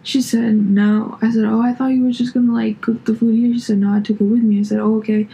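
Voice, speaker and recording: A young woman co-hosting a casual podcast, telling stories in an animated, profane way. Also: fairly high pitch, fast, creaky, low-quality recording. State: drinking. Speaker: podcast co-host. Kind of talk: casual podcast chat, storytelling.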